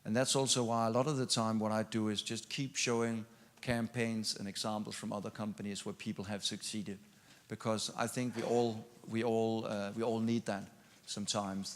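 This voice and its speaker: A man, a keynote speaker, answering audience questions in halting talk full of repeated words and restarts. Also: lowish voice. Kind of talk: conference Q&A answers. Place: large conference hall. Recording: long hall reverb at a conference.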